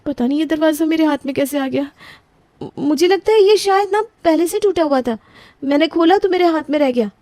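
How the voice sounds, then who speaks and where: A woman's voice: high-pitched, lively intonation, a little fast, dark tone, dry recording. A woman voicing a young newlywed daughter-in-law who is flustered and put-upon, pleading and grumbling in a dry close recording. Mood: defensive. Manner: flustered, pleading, whiny complaining. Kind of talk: scripted family story voicing.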